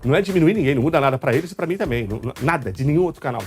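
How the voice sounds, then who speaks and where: A quick-paced male host with a São Paulo state Brazilian accent, at a mid-range pitch, talking football live over background music. His delivery is chatty and colloquial, with some teasing. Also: lively intonation. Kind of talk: casual live football talk show commentary. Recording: music under the voice.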